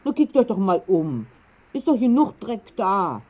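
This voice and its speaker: An actress playing a spirited, bossy working-class woman with a Berlin accent, brisk and scolding, in a radio drama. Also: mid-range voice, big pitch swings. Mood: bossy, urging. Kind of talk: 1960s German radio drama acting. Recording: muffled band-limited old radio recording.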